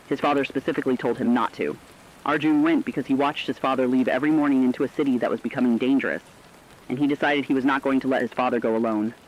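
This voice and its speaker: A man narrating scripted human-interest stories for a radio drama in a sober, deliberate way. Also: medium pitch. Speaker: radio storyteller. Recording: dull lo-fi radio sound.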